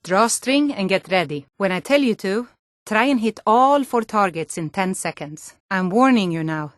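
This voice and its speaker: A woman's voice: medium pitch, animated, moderate pace, no room sound, clean studio sound, natural voice. A woman voicing a guarded, self-reliant young archery instructor in a video game, plain-spoken and composed. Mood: composed, coaching. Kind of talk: video game character dialogue.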